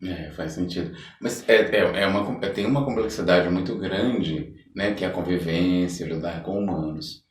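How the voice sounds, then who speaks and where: A Brazilian man hosting a casual podcast, speaking haltingly and repeating words for emphasis. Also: deep voice, a little hiss or hum. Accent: Brazilian Portuguese. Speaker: podcast host. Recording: echoey room, distant microphone.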